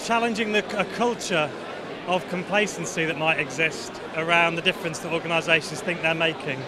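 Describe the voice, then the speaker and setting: A man who works in campaigning and advocacy, speaking in a measured, thoughtful way in a vox pop interview. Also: fairly high pitch, steady tone, crowd noise.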